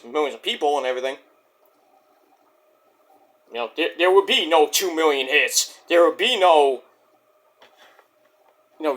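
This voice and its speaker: A man's voice: a little high, fairly bright tone. Boastful New York man rambling. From Brooklyn, New York. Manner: boastful, rambling, restarts and fillers. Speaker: wrestling review YouTuber. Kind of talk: casual rambling monologue.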